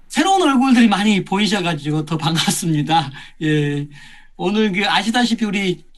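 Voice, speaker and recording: A man with a strikingly high voice hosts a video-call session. He speaks formally and politely, pausing on hesitant fillers. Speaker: session host.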